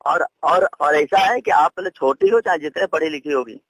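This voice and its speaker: A man's voice: fairly high pitch, moderate pace, a bit bright, dry recording. A middle-aged man, a senior police official arguing on a phone call, scolding, interrupting and repeating his words as he stumbles. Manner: stammering repetitions, scolding, interrupting. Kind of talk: heated phone argument. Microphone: telephone call.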